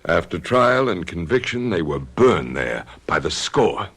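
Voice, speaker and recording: A calm, inquisitive doctor in an old radio mystery drama. His speech is measured and reassuring, with a pitch that rises and falls in lively swings. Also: vocal fry, slightly rough, exaggerated voice. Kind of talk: 1970s radio drama acting.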